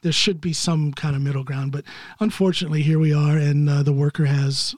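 A man's voice: on the high side, steady tone, a little fast, a little mellow, no room sound, clean studio sound. A man from the United States hosting a casual interview, talking in a conversational way and agreeing readily. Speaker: podcast host.

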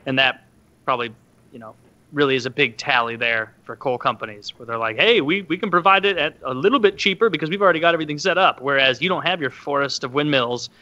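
Man, bright sharp voice, blunt and sweary, dull lo-fi call audio. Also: a little high, quick pace. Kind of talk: casual chat.

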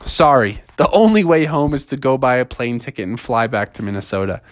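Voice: medium pitch, brisk, no echo, clean studio sound, lightly acted. A man voicing a laid-back, friendly male lake monster who is lonely in his underwater lair.

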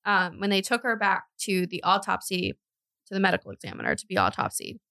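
A woman hosting a true crime podcast, telling the story conversationally with informal, animated asides. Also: medium pitch, fairly quick, creaky, no echo, studio quality.

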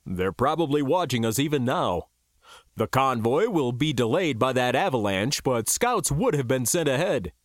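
A man voicing a calm, calculating male commander with a measured, authoritative and terse delivery. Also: medium pitch, no echo, clean studio sound, natural voice. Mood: assessing.

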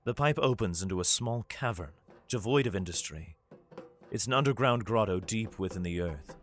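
Man playing a low-voiced, weary father narrating. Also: animated, moderate pace, faint music behind, close to an everyday voice. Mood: grave narration. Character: weary, protective father fleeing war, narrating. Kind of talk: audio drama first-person narration and dialogue.

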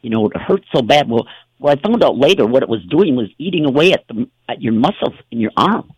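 A man's voice: mid-range voice, animated, fast talker, dry recording, low-quality recording. A man hosting a talk show, telling stories in a rambling, casual way with many fillers and restarts. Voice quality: gravelly, husky.

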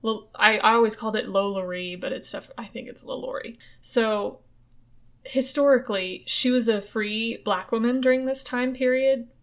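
A woman's voice: fairly high pitch, normal speed, a bit bright. Young woman, podcast host, chatty, casual swearing, storytelling. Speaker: podcast host telling ghost stories. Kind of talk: casual chat.

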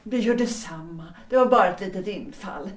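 A woman acting a melancholy, evasive wife in a period audio drama, speaking in a measured, understated way. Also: medium pitch, close to an everyday voice. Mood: evasive, reluctant. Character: melancholy, evasive, reserved wife, former opera singer, 1906. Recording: echoing room.

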